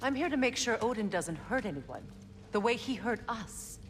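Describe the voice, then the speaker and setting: An actress voicing a grieving, resolute goddess who speaks quietly and firmly in a video game. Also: female voice, fairly high pitch, big pitch swings, moderate pace, long reverb tail, slight background noise. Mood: grim. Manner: quiet, firm, grave. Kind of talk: video game cutscene acting.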